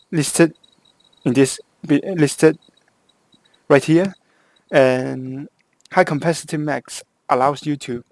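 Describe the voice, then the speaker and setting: A man's voice: mid-range voice, no echo. Hong kong english guy explaining a game, keeps restarting mid-sentence, dry close sound. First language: Cantonese. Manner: halting, with frequent restarts and self-corrections. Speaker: gameplay guide creator. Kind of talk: game tutorial voice-over.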